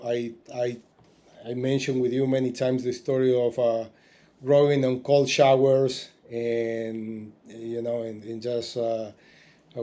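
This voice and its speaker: Mellow-voiced man, halting. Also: medium pitch. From Argentina. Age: 40s. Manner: halting, with many fillers and restarts. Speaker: podcast guest. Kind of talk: casual interview talk.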